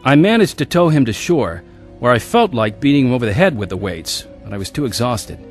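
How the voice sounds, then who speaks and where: A man's voice: medium pitch, big pitch swings, fairly quick, music in the background, lightly acted. An actor narrating and playing a young man looking back on his wild youth in a radio drama.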